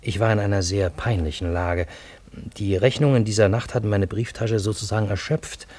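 An actor as a wry first-person storyteller, narrating a radio drama in a measured, matter-of-fact way. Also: male voice, lowish voice, fairly even intonation, a little mellow, dry recording, close to an everyday voice. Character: wry male first-person storyteller recounting strange events.

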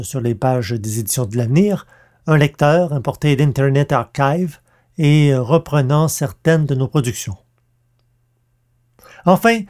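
Man, podcast host, relaxed mellow tone, scripted announcements. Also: medium pitch.

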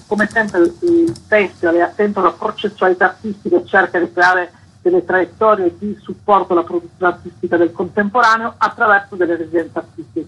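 A woman's voice: fairly low pitch, fairly bright tone, breathy edge, rough recording. A woman explaining a programme in a radio interview as a festival organiser.

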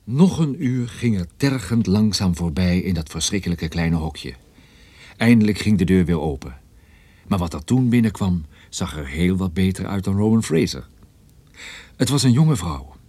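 A man's voice: low voice, lively intonation, moderate pace, dark tone, no echo. An actor playing a wry, easily rattled male private detective in a radio drama. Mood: wry, brooding. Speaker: lead actor and narrator. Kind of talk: radio detective drama with first-person narration.

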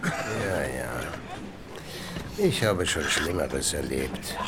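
An actor playing a forty-year-old hobo prisoner, hardened and untroubled, drawling reassurances in a radio drama. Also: male voice, extremely low pitch, sing-song, drawn-out words, very noisy, exaggerated voice. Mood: stoic.

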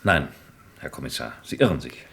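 A man's voice: rumbling low, animated, moderate pace, vocal fry, bright, forceful tone, exaggerated voice. A man playing a proud, disbelieving army major in a radio crime drama, formal and indignant on behalf of his officers. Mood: indignant disbelief. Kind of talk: German radio play dialogue acting.

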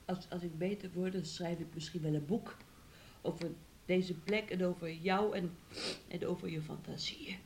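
An actress playing a sick, trapped but defiant young woman in a radio play, speaking haltingly and in protest. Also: on the low side, fairly even intonation, darkish tone, a little hiss or hum, close to an everyday voice. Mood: weary, faint. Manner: halting, repeats words, protesting. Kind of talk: Dutch radio play acting.

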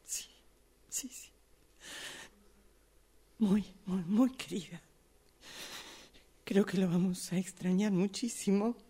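A woman improvising a radio drama part as a reserved, guarded woman who speaks haltingly and repeats words. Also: medium pitch, mellow, slightly rough. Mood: subdued, uneasy. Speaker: radio drama workshop participant.

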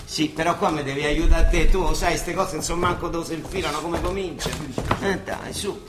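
An actor playing a bustling, grumbling but fond monsignor with a Roman accent, in a theatrical radio drama style. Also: male voice, fairly high pitch, steady tone, moderate pace, heavy background noise, natural voice. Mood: impatient, bustling. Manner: colloquial, chivvying, muttering and exclaiming. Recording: echoey stage-like sound with music behind.

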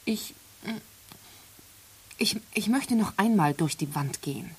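A critical, dismissive woman in a radio drama, with a husky, breathy voice at medium pitch, cutting or hesitant by turns. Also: animated, slow pace, a little mellow, heavily acted. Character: dismissive critic of men, hesitant yet longing. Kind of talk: radio play acting, dialogue.

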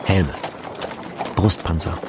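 A man's voice: low voice, takes it slowly, music in the background. A man narrating a radio drama, reading scene directions in a terse, descriptive way.

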